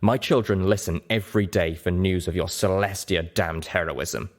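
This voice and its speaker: Man voicing a grim, slow, low-pitched pony merchant. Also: lightly acted. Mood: bitter. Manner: slow, deliberate, bitterly confessional. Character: weary, grim male pony merchant and father. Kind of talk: audiobook character voice.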